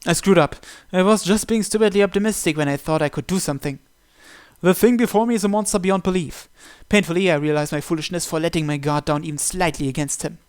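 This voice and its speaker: A man reading a visual novel aloud in an expressive, dramatic first-person narration with occasional stumbles. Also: a little high, quick pace, clear, resonant tone, no room sound, studio quality.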